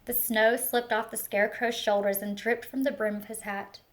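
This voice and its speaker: A woman reading a picture book aloud to young children, expressive and speaking to them directly with teacher-like questions and asides. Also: fairly high pitch, moderate pace. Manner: expressive storytelling, talks directly to young listeners.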